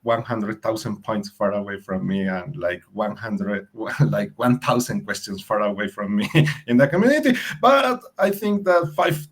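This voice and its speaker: A Colombian man, a native Spanish speaker, presenting over a video call in English, friendly and halting, with repeated words. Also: lowish voice, animated, slightly rough. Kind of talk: webinar presentation.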